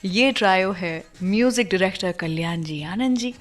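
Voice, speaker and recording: A woman's voice: mid-range voice, moderate pace. A woman hosting a radio music show, telling stories in a scripted but conversational way and mixing in English phrases. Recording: music under the voice. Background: background music.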